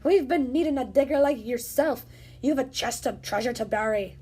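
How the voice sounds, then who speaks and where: A woman voicing a loud, blustering male pirate captain who shouts his lines in gruff pirate talk. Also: high-pitched, normal speed. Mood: boisterous.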